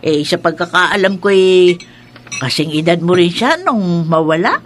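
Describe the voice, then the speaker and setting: An actress playing a feisty, doting elderly grandmother in a radio drama, teasing and bossy in a lively way. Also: on the low side, a little slow, slight background noise. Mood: reminiscing. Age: older. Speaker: grandmother character.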